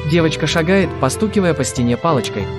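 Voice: medium pitch, animated, fast. A narrator reading scripted audio description for a cartoon. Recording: music under the voice. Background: prominent soundtrack music.

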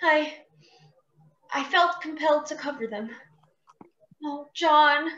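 A woman's voice: sits high, takes it slowly, fairly bright tone. A woman playing a distressed, genteel young lady in a radio play, earnest and dramatic, in an echoey, muffled recording. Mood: fearful. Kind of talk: theatrical radio play acting.

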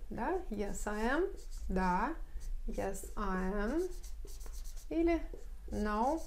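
Woman, 30s, english teacher, patient whiteboard explanation, slow example phrases, medium pitch, lapel mic. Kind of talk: whiteboard grammar lesson. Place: bright room at a whiteboard.

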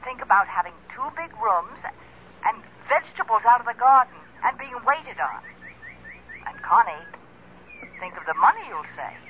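A woman's voice: on the high side, sing-song, moderate pace. An actress in a radio drama playing a genteel, well-off woman friend with an assured, coaxing manner. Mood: insistent. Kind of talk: 1970s radio drama acting. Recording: tinny telephone-like old radio, faint hiss.

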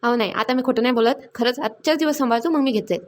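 A woman's voice: on the high side, lightly acted. A woman voicing a busy, scolding village mother in a cartoon, nagging. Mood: coaxing, harried. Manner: nagging, rapid repeated orders. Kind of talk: Marathi comedy cartoon dialogue.

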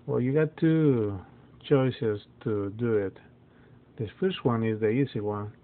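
A man whose first language is Spanish walks through a phone reset step by step, halting often with fillers and restarts. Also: mid-range voice. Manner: halting, many fillers and restarts, non-native phrasing. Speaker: phone tutorial presenter. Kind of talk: casual how-to walkthrough. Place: dim indoor room, phone filmed in hand. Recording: tinny phone-like audio.

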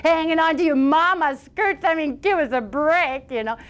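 A woman's voice: high-pitched, normal speed, fairly bright tone, pitched above the performer's own voice. A woman voicing a scornful older brother in a fairy tale, sneering and teasing. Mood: mocking.

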